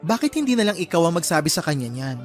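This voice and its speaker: A man playing a chatty, teasing young friend in a radio drama, expressive and gossipy. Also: fairly high pitch, fast. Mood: teasing, coaxing. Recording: music behind the voice.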